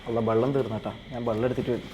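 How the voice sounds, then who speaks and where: A man's voice: mid-range voice, flat delivery, very fast, mellow. A man streaming a role-play game, chatting casually with other players. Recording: noisy live game voice chat.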